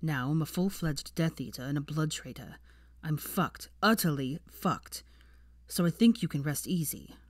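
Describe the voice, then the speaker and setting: A woman's voice: low voice, normal speed, dry recording. A woman reading the part of a bitter, defensive seventeen-year-old wizard boy with a cold temper in an audiobook story. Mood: resigned, bitter. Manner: profane, bitter, arguing in long bursts. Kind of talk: fan fiction audiobook character dialogue.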